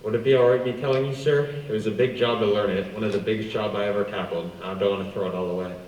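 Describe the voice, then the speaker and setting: A man plays a humble stage memory man in a radio drama, polite and mechanical in his recital. The voice is lowish and rattles along quickly in an echoey hall. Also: fairly even intonation, a little mellow, highs cut off, lightly acted. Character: Mister Memory, deferential music-hall memory man reciting facts. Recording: echoey hall from far off, faint noise.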